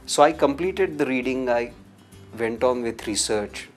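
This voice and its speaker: A man, a filmmaker, slowly and thoughtfully recalling how he came to make his first feature film. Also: mid-range voice, expressive, faint music behind. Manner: slow, reflective. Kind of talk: interview testimony.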